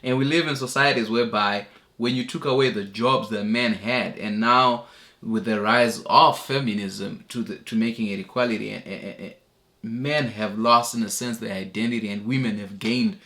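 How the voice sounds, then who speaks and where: Bright-voiced man, low. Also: moderate pace. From Zimbabwe. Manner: relaxed, chuckling, conversational. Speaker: reaction video host. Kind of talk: casual commentary.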